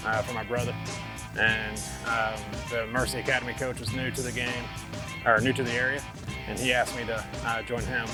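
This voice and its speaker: A middle-aged man, a softball coach, answering interview questions with many pauses and fillers. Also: medium pitch. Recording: loud guitar music underneath.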